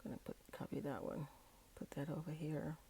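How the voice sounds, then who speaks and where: Hoarse woman with a cold, low and gravelly, easygoing think-aloud planner talk. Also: little pitch movement, dark tone, slight background noise. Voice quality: raspy, froggy voice. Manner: unhurried, thinking aloud with frequent okays and uhs. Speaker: digital planner video creator. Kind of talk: casual talk-through while decorating a planner. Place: indoors at a tabletop, hands working an overhead-filmed tablet.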